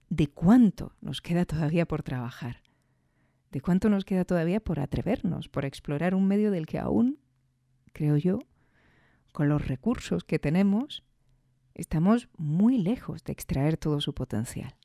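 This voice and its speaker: A woman's voice: low-pitched, warm tone, dry recording, clean studio sound. A woman with an accent from Spain, hosting a podcast alone with reflective, emphatic commentary and rhetorical repetition. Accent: Spanish from Spain. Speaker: podcast host and reviewer. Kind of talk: reflective solo commentary on audio fiction.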